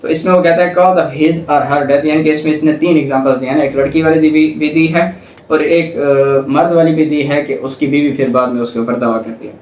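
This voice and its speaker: A man's voice: on the high side, steady tone, fairly quick, a little mellow, slight background noise. A man with a Pakistani accent giving a methodical law lecture, reading clauses aloud and explaining them. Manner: methodical, didactic, explains with everyday examples. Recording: echoey room, distant microphone.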